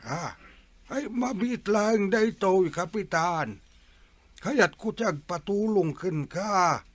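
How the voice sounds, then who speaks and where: A woman's voice: medium pitch, lively intonation, slow, no echo. A woman playing a hesitant villager who pleads for help, acted for a comedy radio drama. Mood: pleading.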